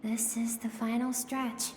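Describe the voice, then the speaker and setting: An actress playing an unhurried, knowing, mysterious guide who tells stories in a video game, measured and musing. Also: female voice, a little high, little pitch movement, a little mellow, natural voice. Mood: musing, storytelling. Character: unhurried, knowing, mysterious female guide telling secret history. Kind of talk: scripted game storytelling. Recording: echoey long reverb, music behind.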